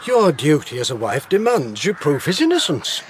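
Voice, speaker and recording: Fussy older victorian uncle, insistent, very lively pitch, radio drama, slight background noise. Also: male voice, normal speed. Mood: exasperated, urgent. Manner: animated, urgent, pompous.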